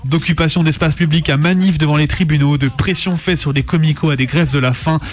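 A man presenting on radio, reading a scripted militant documentary text in measured phrases. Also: a little high, fairly even intonation. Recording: music playing under the voice. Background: music.

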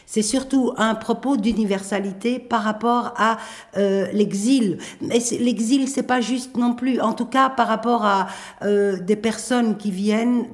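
A middle-aged woman, speaking thoughtfully in a radio interview, pausing and restarting often. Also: a little high, steady tone. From Belgium. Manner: thoughtful, hesitant with many pauses and restarts. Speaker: playwright and performer.

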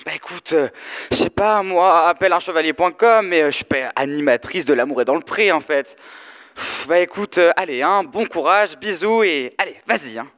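A woman's voice: fairly low pitch, normal speed, fairly bright tone, close to an everyday voice. A teenage girl playing a sardonic, offhand best friend in a comic audio drama. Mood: dismissive. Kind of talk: comic audio fiction acting.

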